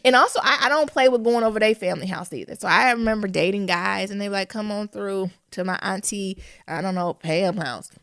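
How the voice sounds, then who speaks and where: A woman's voice: mid-range voice, a little fast, vocal fry, hardly any pauses, dry recording, clean studio sound. A woman co-hosting a podcast, a little congested, talking frankly in casual chat and acting out quoted people as she tells stories. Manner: frank, animated storytelling with quoted voices. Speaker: podcast co-host.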